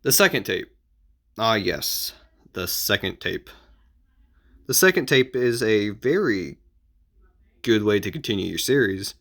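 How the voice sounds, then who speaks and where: A man giving casual advice as an amateur video creator, explaining in an informal, halting and repetitive way. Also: on the low side, a bit bright.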